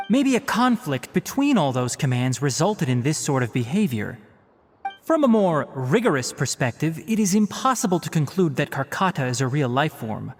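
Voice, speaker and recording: A man's voice: a little high, slight background noise. A man voicing a stern, scholarly young male forest ranger who speaks in a precise, lecturing way, in a game cutscene. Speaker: forest watcher.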